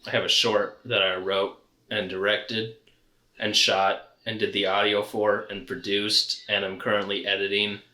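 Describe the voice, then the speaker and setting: A man's voice: on the low side, little pitch movement, a little slow, pushed and bright. A young man co-hosting a podcast in casual chat, talking in a hesitant, fumbling, offhand way. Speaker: podcast co-host. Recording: roomy, echoey room.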